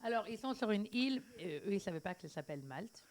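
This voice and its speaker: A woman interpreting a sermon live, phrase by phrase, with halting wording and self-corrections. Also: medium pitch, lively intonation, darkish tone.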